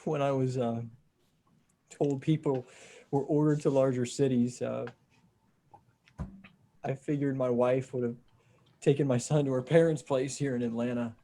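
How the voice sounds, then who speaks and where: A man acting a weary, shaken apocalypse survivor with terse, halting delivery in a comic book table read over a video call. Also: mid-range voice, fairly even intonation, dark tone, natural voice. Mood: grieving.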